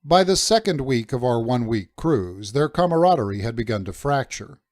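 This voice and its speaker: A man reading scripted first-person adventure fiction as an audiobook narrator, in a measured, dramatic storytelling manner. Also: mid-range voice, animated, a little mellow, no echo, studio quality.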